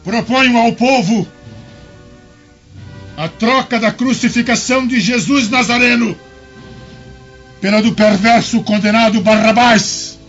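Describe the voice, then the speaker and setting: A man plays a high-pitched Roman governor in a radio drama, declaiming with slow, theatrical weight. The recording is dull and lo-fi, with faint music behind him. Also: fairly bright tone. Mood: commanding, shouting. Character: wavering Roman governor, proclaiming to a mob.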